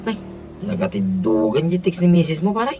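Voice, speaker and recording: A man's voice: on the high side, very animated, moderate pace, music in the background. A Filipino man with an Ilocano accent playing a chummy office buddy in a radio comedy drama. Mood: nagging, curious.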